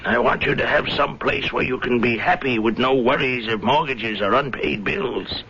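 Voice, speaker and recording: A man's voice: mid-range voice, moderate pace, pushed and bright, slight background noise, close to an everyday voice. An actor in an old radio drama playing a genteel older father, skeptical but indulgent, hesitant and placating. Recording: muffled old radio recording with music.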